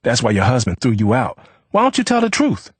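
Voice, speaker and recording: A bitter, sneering young man hurls coarse taunts in a husky, raised voice that lurches up and down in pitch, as a narrator acts him out. The sound is close and dry. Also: lively intonation, normal speed, a little mellow, dry recording. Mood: cruel. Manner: taunting, coarse street talk. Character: hot-tempered young man, sneering and cruel.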